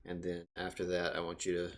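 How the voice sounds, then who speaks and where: A man with a very deep voice talks casually as a game player. He gives spoken instructions in a slightly hesitant, halting way. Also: completely flat delivery, noisy background. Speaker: player demonstrating a voice assistant.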